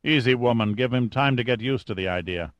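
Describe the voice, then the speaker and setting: A man voicing a calm, cold middle-aged male mine boss who threatens in a matter-of-fact way. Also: medium pitch, dry recording, dull audio, lightly acted. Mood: cool, controlled. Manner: measured, matter-of-fact menace.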